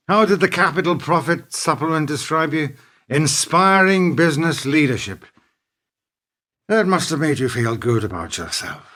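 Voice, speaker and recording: A man playing a principled but embittered business partner in a radio play. His voice sits fairly high for a man, and he needles with dry, measured sarcasm. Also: fairly bright tone. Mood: sardonic, accusing.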